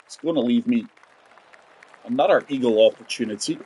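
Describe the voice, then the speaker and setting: A man's voice: medium pitch, brisk, a little mellow, breathy edge, a faint crowd, poor audio. A man in his forties giving chatty live commentary on a golf game, talking straight to his viewers. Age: 40s. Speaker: game streamer.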